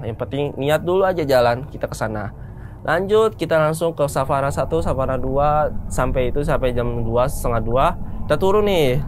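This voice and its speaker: A man's voice: medium pitch, slight background noise. A man of about thirty, casually recounting a personal story in informal, colloquial speech. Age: around 30. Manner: informal, colloquial, acts out bits of dialogue. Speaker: storyteller, guest recounting a mountain hike.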